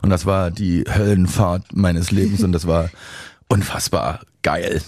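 Man, low pitch, casual presenter, halting enthusiastic storytelling, dry recording. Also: steady tone, darkish tone, no room sound. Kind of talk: casual chat.

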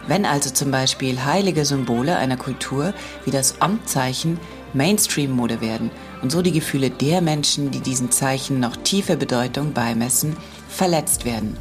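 A woman hosting a podcast, reading a scripted intro and then interviewing a guest in a relaxed, conversational way with some fillers. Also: rumbling low, moderate pace, music in the background. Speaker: podcast host.

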